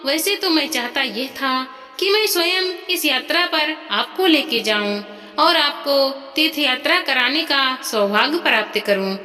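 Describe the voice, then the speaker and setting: A woman as a devoted grown son, bright-toned. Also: high voice, lively intonation, a little slow, full character voice. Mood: reassuring, earnest. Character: devoted, dutiful grown son of modest means. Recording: long reverb, music behind.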